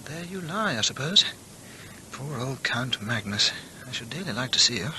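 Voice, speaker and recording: An actor playing an inquisitive travel writer and amateur antiquarian, genteel, precise and chatty, in a radio drama. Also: male voice, mid-range voice, expressive, normal speed, bright, forceful tone, a little hiss or hum, low-quality recording. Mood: hushed musing. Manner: genteel, precise, musing to himself. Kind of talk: radio drama acting and narrated journal.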